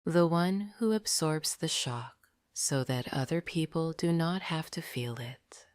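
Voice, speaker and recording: Very slow, low-pitched woman, hushed. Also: no room sound, studio quality. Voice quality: soft, breathy, often near a whisper. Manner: gentle, soothing, deliberate. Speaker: guided meditation narrator. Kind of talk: slow scripted sleep meditation.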